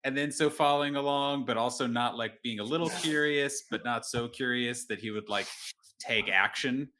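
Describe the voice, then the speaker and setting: A man's voice: medium pitch, normal speed, bright, forceful tone, a little hiss or hum. A man casually working out tabletop game story ideas piece by piece, with a wry streak, as a podcast player. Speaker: player in an actual-play podcast. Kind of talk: casual collaborative game planning.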